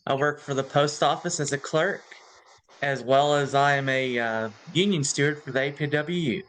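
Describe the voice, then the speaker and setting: A man's voice: mid-range voice, moderate pace, fairly bright tone, rough recording. A man in his thirties, a dating show guest, talking plainly and easily. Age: 30s. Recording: faint background noise.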